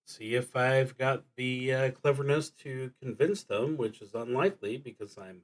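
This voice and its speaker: A man streaming a solo tabletop roleplaying game, thinking aloud casually and often pausing mid-sentence. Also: mid-range voice. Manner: halting, with frequent pauses mid-sentence.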